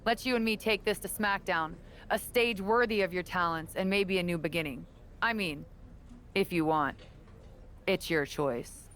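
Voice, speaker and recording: A woman playing a proud veteran wrestling champion and earnest mentor in a video game cutscene, speaking in a measured, assured way. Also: lowish voice, a bit bright, a little hiss or hum, lightly acted. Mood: earnest, conciliatory.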